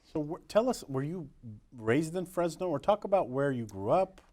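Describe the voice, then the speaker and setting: A man's voice: a little high, expressive, normal speed, mellow. A man hosting a public affairs radio interview from a studio, friendly and chatty. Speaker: radio show host.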